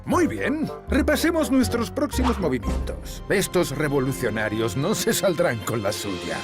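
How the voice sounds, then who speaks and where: Cartoon villain: pompous colonial viceroy, high-pitched, swooping delivery, haughty and blustering. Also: male voice, big pitch swings, measured pace, music in the background. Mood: scheming, haughty. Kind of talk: animated children's history cartoon.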